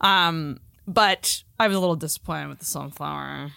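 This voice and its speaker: A woman's voice: low-pitched, normal speed, gravelly, bright, forceful tone, clear, resonant tone, dry recording, studio quality. A woman co-hosting a podcast in playful, chatty, comedic conversation. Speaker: podcast co-host. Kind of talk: casual comedic chat.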